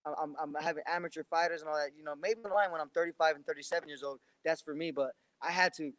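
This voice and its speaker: Young male fighter, quick rambling delivery, dry close sound, casual interview energy. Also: fairly high pitch, fairly even intonation, fairly bright tone, no echo. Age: 20s. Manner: rambling, many restarts and fillers. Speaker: professional boxer.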